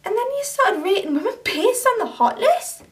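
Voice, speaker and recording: A young woman playing an angry young woman who confronts her ex in a sharp, cutting, indignant way, recorded from a distance. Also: extremely high pitch, very animated, moderate pace. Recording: distant camera mic.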